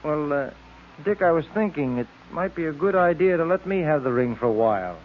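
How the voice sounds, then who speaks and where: A man's voice: fairly high pitch, animated, a little fast, lightly acted. An actor playing a detective's male sidekick under a villain's spell, in old-time radio serial style. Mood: feigned casual. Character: detective's male sidekick, hypnotized, feigning casual friendliness. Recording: tinny, noisy vintage radio recording.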